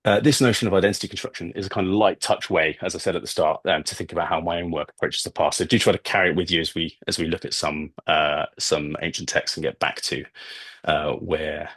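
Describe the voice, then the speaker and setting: A British man delivers an academic lecture in a deep, husky voice, close and dry. His delivery is hesitant and discursive, moving between prepared text and asides. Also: fairly even intonation, fast, a bit bright, dry recording. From Britain. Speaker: guest lecturer, researcher of ancient history. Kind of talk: academic talk with slides, semi-scripted.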